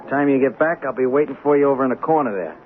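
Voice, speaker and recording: An actor playing a shifty small-time hustler in a radio drama, talking slangy, hesitant and streetwise. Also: male voice, mid-range voice, very fast, noisy background, close to an everyday voice. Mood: pushy. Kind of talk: radio drama acting with first-person narration. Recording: tinny 1970s radio broadcast, crowd behind.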